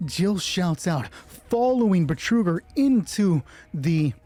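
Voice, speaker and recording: Male solo game master, husky and slightly high-pitched, casual sweary narration of dice rolls and action, music underneath. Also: big pitch swings, takes it slowly, dark tone, dry recording. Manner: casual, swearing freely, thinking aloud. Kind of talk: narrating solo tabletop roleplay, reading out dice results.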